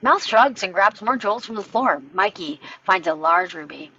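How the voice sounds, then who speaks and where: Female narrator for script stage directions, raspy edge, medium pitch, slightly muffled lo-fi recording. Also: lively intonation, moderate pace, sharp tone, no room sound. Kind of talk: scripted narration at a table read.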